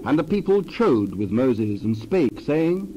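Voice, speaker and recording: A man narrating a dramatized scripture passage in a steady storytelling way. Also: mid-range voice, measured pace, dull audio, background noise.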